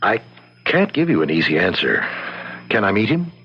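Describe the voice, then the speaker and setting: A man playing a learned, frank psychiatrist in an old-time radio drama, measured and wry, on a muffled recording. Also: on the low side, big pitch swings, slightly rough. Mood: clinical.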